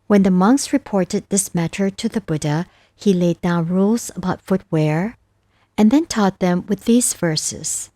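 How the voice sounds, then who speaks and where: A woman reading scripture as scripted narration, reciting verses with measured, deliberate phrasing. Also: lowish voice, warm tone, no echo, clean studio sound. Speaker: narrator of a Buddhist scripture audiobook.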